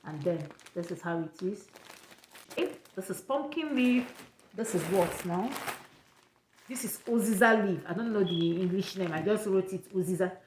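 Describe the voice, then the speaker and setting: A woman's voice: mid-range voice, lively intonation, normal speed, echoey room, a little hiss or hum, low-quality recording. A young woman from Nigeria with an Igbo accent, giving chatty, repetitive travel advice in a casual vlog. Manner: chatty, repeats points, pidgin touches.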